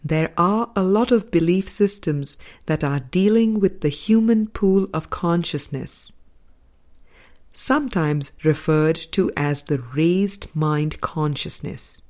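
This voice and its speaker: Woman narrating a spiritual audiobook, really slow and soft-edged mellow tone, careful pauses. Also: fairly low pitch. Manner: measured, deliberate phrasing with pauses between short phrases. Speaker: audiobook reader.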